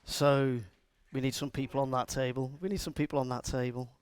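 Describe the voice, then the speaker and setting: A man's voice: mid-range voice, flat delivery, fairly quick, clean tone. A middle-aged man from Liverpool with a Scouse accent, speaking live to a conference audience in a chatty, joking way and asking them questions. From Liverpool, England. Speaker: conference speaker and agile coach. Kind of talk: live conference talk to a room.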